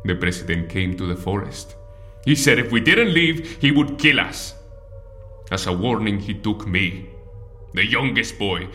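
A man playing a zealous, smooth-talking rebel leader with a grandiose, insistent delivery in an audio drama. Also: medium pitch, bright, forceful tone. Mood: proud, fervent.

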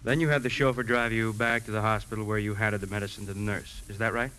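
Polite police captain, lowish voice, rapid matter-of-fact delivery, persistent, vintage 1950s radio drama, noisy recording. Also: male voice, fairly even intonation, bright, forceful tone. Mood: brisk, courteous. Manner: brisk, matter-of-fact, courteous. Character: polite but persistent male police captain questioning witnesses.

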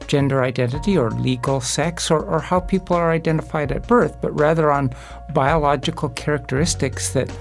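Calm matter-of-fact expert. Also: mid-range voice, brisk, soft background music. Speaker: expert interviewed. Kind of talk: expert interview.

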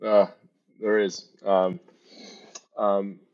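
Low-voiced upbeat host, muffled audio. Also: male voice, flat delivery, very slow. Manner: upbeat, filler-heavy. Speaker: host, company leader. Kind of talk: conversational interview.

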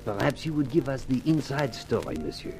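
Lilting, courtly French barkeeper. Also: male voice, medium pitch, very animated, brisk, a little mellow, faint music behind. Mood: admiring, curious. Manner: deferential, formal. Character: courtly, obliging French bar proprietor. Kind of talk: 1950s radio crime drama acting.

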